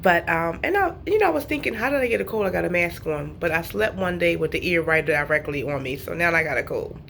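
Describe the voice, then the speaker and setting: Woman vlogger with a head cold, congested and earnest, rambling motivational talk over a faint low rumble. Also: lively intonation, fast, vocal fry, fairly bright tone. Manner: earnest, rambling, many ums and restarts. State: stuffy from a cold. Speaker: vlogger giving life advice. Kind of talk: casual motivational talk to camera.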